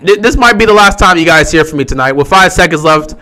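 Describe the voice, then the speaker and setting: A young man with a sore, hoarse voice, giving casual live commentary on a football game he is streaming, resigned and frustrated. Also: a little high, lively intonation, quick pace, sharp tone. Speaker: livestream host.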